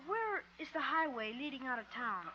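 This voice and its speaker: A woman's voice: high-pitched, very animated, normal speed, sharp tone, exaggerated voice. A woman playing a prim, precocious runaway boy who speaks with formal, very proper diction, in 1950s radio sitcom style. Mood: guarded. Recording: muffled hissy 1950 radio transcription.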